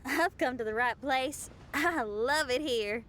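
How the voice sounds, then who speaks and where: A woman's voice: sits high, unhurried, a bit bright, a little hiss or hum. A woman playing a friendly, outgoing young adventurer in a video game, casual and enthusiastic. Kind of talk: video game cutscene acting.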